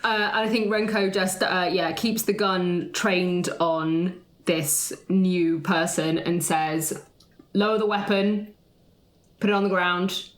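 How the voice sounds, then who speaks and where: A woman's voice: on the low side, fairly even intonation, takes it slowly. A woman playing a tabletop roleplaying game, improvising and chatting casually as a player. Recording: roomy echo, faint background noise.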